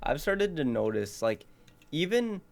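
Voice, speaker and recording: A man's voice: fairly high pitch, big pitch swings, a little slow, gravelly. An eighteen-year-old man co-hosting a podcast, chatting casually and rambling with lots of fillers and restarts. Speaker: podcast co-host. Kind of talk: casual chat.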